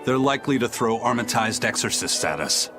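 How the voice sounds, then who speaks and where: An actor voicing a stern, seasoned pirate captain in game dialogue, speaking in a measured, grave, analytical way. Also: male voice, mid-range voice, expressive, music in the background, natural voice. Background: game score.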